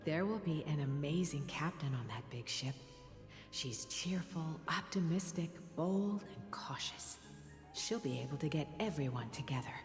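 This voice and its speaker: Game role: tender priestess mother, low soft breathy voice, long reverb, music behind. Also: expressive, normal speed. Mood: gentle, storytelling. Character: gentle, reassuring mother and priestess. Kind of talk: video game voice acting.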